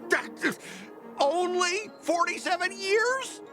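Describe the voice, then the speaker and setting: A man's voice: very high voice, lively intonation, slow, music in the background, full character voice. An actor playing a blustering male cargo company worker, flustered and defensive, in game voice acting. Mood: smug.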